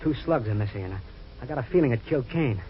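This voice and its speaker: An actor playing a nervous, paranoid husband in a 1940s radio drama, stammering and pleading. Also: male voice, medium pitch, lively intonation, fast, a little hiss or hum, close to an everyday voice. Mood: frantic. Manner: anxious, stammering. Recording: dull lo-fi old radio recording.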